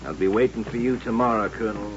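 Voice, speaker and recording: An actor playing a drunken, aggrieved cowboy spoiling for a gunfight, blustering and threatening in old-time radio western style. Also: male voice, lowish voice, fairly even intonation, quick pace, natural voice. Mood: bitter, threatening. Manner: blustering, threatening frontier talk. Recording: dull, noisy, humming old radio recording.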